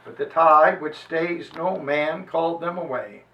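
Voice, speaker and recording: Man, fairly high voice, theatrical history reading and lecture, deliberate emphasis, reverberant room. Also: fairly even intonation, dull audio. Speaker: preacher giving a historical reading. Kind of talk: dramatic reading and lecture to a live gathering. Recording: echoey room, distant microphone.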